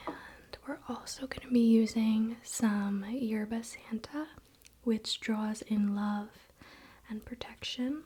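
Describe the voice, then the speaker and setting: A woman whispering softly in a soothing, relaxed and informal way, as an ASMR host. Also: on the high side, slow pace, husky. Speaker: ASMR video host and spiritual practitioner. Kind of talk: soft-spoken whispered ASMR.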